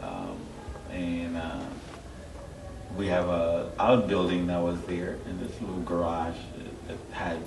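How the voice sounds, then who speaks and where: A middle-aged man from Haiti giving a personal testimony as an interview guest, halting and searching for his words. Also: low voice. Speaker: interview guest, church member. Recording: echoey, distant mic, clear background noise.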